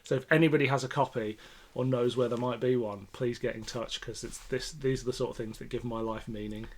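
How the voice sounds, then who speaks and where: A man's voice: mid-range voice, steady tone, a little fast. Man hosting a casual podcast discussion. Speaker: podcast host.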